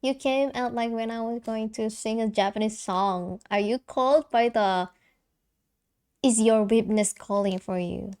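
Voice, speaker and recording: A woman's voice: a little high, a little slow, a little mellow, smooth. A young woman streamer with a light soprano voice, chatting casually and giggling, with lots of fillers and restarts. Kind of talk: casual live-stream chat.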